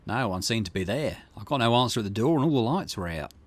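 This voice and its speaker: A man reading video game dialogue aloud in an expressive way, with the occasional small stumble. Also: fairly low pitch, fast talker. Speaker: gaming channel host reading a visual novel aloud.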